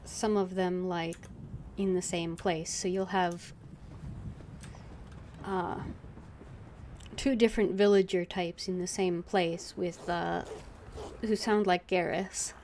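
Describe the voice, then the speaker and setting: A woman chats casually as she streams a game, thinking aloud in a hesitant, rambling way. Her voice is fairly low and slow, with faint noise behind it. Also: fairly even intonation, a little mellow. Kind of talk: casual, rambling livestream commentary.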